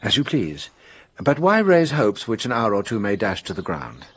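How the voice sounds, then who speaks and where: An actor playing a vain, teasing detective in a radio drama, calm, precise and sure of himself. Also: male voice, fairly low pitch, moderate pace, slightly rough, no echo, full character voice. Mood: unhurried, confident. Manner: self-assured, theatrical, precise, wryly amused. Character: incomparable, vain, teasing male French detective, calm and commanding.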